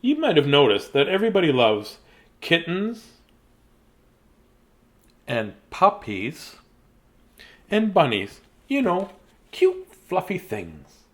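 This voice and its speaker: A man in his fifties reads children's bedtime picture books in an animated, playful, childlike way, with chatty asides. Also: on the high side, takes it slowly, long pauses. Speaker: children's storyteller. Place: small decorated room indoors.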